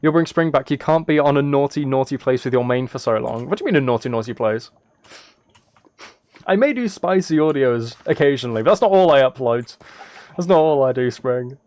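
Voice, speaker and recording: A man's voice: mid-range voice, animated, brisk, a little mellow, dry recording. A man chatting casually to viewers as he livestreams a game, playful and teasing, drifting into rambling asides. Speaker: livestreamer and host. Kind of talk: casual livestream chat while playing a video game. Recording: clear noise with key clicks and typing. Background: keyboard typing and clicks.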